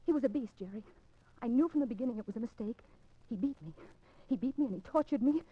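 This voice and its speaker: An American actress playing a secretive, lovestruck wife in a 1950s radio drama, speaking breathlessly and melodramatically. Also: female voice, fairly high pitch, animated, fast, breathy edge, no room sound. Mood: bitter. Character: intense, secretive wife of a condemned man, in love. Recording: dull, noisy 1950s radio recording.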